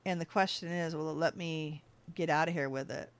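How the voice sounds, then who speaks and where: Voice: mid-range voice, fairly quick, clean tone. Chatty let's-play host thinking aloud. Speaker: gaming channel host. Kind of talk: casual let's-play commentary.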